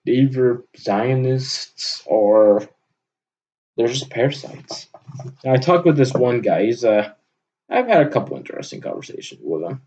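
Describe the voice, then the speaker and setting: A man giving a rambling, unscripted monologue for an audio log, halting often and letting his sentences trail off. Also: medium pitch, a little mellow, lo-fi sound. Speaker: vlogger recording an audio log.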